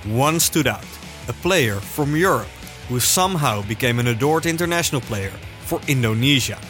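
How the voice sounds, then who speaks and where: A man hosting a podcast, narrating a scripted intro and then chatting casually and friendly with a guest. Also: mid-range voice. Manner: relaxed, friendly, uses "man". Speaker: podcast host.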